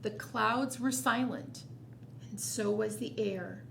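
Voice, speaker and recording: A woman hosting storytime, reading a children's picture book expressively, with quiet asides. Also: medium pitch, slow, creaky, slight background noise. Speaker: storytime host.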